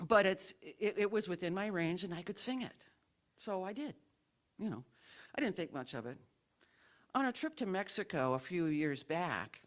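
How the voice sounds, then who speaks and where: A woman with a low voice sharing a rambling, self-deprecating personal story at a church service, in a packed room. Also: a bit bright. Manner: chatty, rambling, self-deprecating, laughs while telling the story. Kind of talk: informal testimony at a church service.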